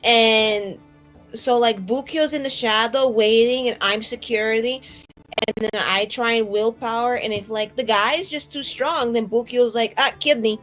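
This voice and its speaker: Girl playing a rebel mage in a tabletop rpg, highish voice, slangy, unsure but down for it. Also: normal speed, fairly bright tone, faint music behind, lightly acted, low-quality recording. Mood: eager, explaining. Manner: slangy, informal, hesitant. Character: young rebel mage woman, slangy, uneasy but game. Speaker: tabletop role-playing player. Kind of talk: casual improvised in-character actual play.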